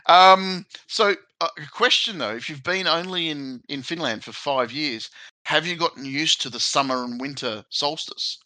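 Bright, jokey male podcast host. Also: a little high, moderate pace, dry recording, poor audio. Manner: jokey, excitable, stammering restarts. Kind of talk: casual podcast chat.

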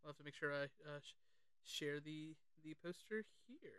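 A man with a bright, fairly high voice talks casually as a podcast co-host. He is chatty and halting, circling back over his phrases as he shares film trivia. The sound is crisp and close, with very little room. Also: no echo.

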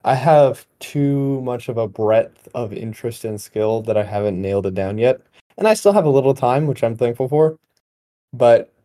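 A man's voice: medium pitch, mellow. A twenty-year-old man chatting casually as a podcast host, in a rambling, wry storytelling manner. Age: 20, young adult. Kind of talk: casual conversational podcast chat.

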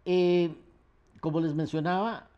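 A man's voice: a little high, fairly even intonation, takes it slowly, smooth, highs cut off. A man hosting a radio talk on old music, reading facts in long runs and trailing off into fillers. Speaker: radio host and researcher. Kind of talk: relaxed radio talk about music history.

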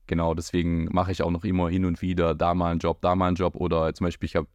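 A man's voice: low-pitched, completely flat delivery, very fast, a little mellow, no room sound. A young man, a music video director, talking casually as an interview guest, slangy and loose, with many fillers. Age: young adult.

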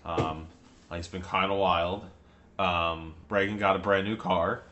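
Laughing, rambling young man, lowish voice. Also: steady tone, measured pace, sharp tone, highs cut off, slight background noise. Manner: laughs often, rambling storyteller. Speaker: podcast co-host. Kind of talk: casual chat.

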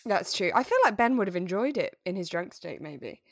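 A woman's voice: lowish voice, lively intonation, fairly quick, no room sound, clean studio sound. A British woman co-hosting a podcast, chatting informally and enthusiastically about a radio drama. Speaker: podcast co-host. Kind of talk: casual chat discussing a radio drama.

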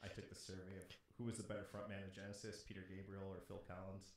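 A man's voice: low voice, level tone, fast talker. A man of nearly forty talking casually and swearing freely, in a very echoey room. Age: late 30s, nearly forty. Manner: profane. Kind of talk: casual chat. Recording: very echoey room, faint noise.

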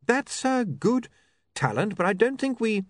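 Man as a polite, high-voiced earnest editor. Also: sing-song, unhurried, no echo, studio quality. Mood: placating. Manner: courteous, hesitant, placating. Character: polite, hesitant male editor, mild and earnest.

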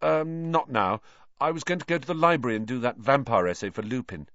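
A man's voice: medium pitch, fairly quick, a bit bright, no room sound, highs cut off, pitched above the performer's own voice, lightly acted. A man voicing a guarded, hesitant young schoolboy in an audiobook, with a plain defensive manner. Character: guarded young schoolboy making hasty excuses.

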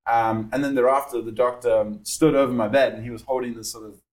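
A young man with a South African accent, a startup founder explaining his work in a podcast interview, measured and full of fillers. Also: medium pitch, steady tone, darkish tone, low-quality recording.

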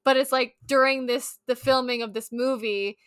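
A woman's voice: sits high, steady tone, normal speed, fairly bright tone, clean tone, no echo, studio quality. A woman co-hosting a podcast, chatting in an informal, rambling, sweary way. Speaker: podcast co-host. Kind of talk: casual chat.

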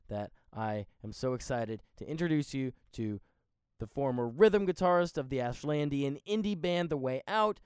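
A man's voice: mid-range voice, darkish tone, clean tone, no echo, studio quality. A man hosting a podcast with a wry, sarcastic, theatrical manner. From Nebraska, US. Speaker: podcast host. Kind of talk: playful show intro and casual interview.

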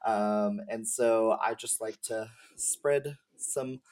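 A man's voice: on the low side, slow, a little mellow. A man chatting casually as a guest game streamer, talking in a chatty, filler-filled way. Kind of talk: casual chat.

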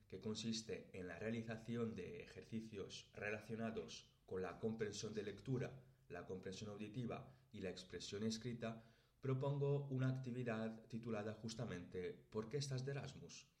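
Guy late 20s w/ spain spanish accent, lowish voice, reading out his podcast script, roomy echo. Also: a little mellow. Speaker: podcast host and language teacher. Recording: roomy, echoey sound.

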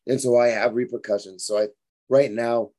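A hoarse, tired man in his forties, hosting a fitness podcast in a frank, rambling motivational monologue. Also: mid-range voice, fairly even intonation, moderate pace, warm tone. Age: 40s. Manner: rambling, frank, repeats himself.

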